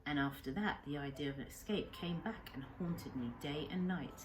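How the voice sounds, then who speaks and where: A woman teacher reading a children's novel aloud as an expressive narrator. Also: low-pitched, moderate pace, music in the background, rough recording. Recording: faint background noise and ambience.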